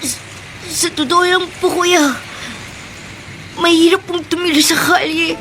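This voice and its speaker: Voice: very high voice, fairly even intonation, unhurried, sharp tone, slight background noise, heavily acted. An actor playing a talkative, eager young street boy in a radio drama, speaking politely and earnestly.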